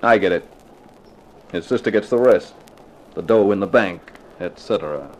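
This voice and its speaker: An actor playing a tough, guarded private eye in a radio crime drama, terse, poker-faced and sardonic. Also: male voice, deep voice, moderate pace. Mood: curt, defiant. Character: tough, guarded hard-boiled private eye, narrating his case. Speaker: actor playing detective and narrator. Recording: noisy old 1950s radio recording.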